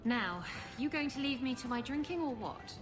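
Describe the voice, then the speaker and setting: An actress playing a brash, flirtatious pirate captain in a video game cutscene, teasing and sardonic. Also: female voice, sits high, lively intonation, moderate pace, music in the background. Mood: playful, teasing.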